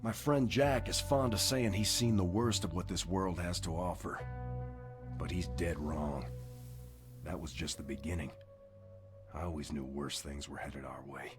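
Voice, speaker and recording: An actor playing a grizzled older occult hunter in a video game cutscene, gruff, brooding and portentous. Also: male voice, sits low, steady tone, a little slow. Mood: ominous brooding. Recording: music under the voice. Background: music.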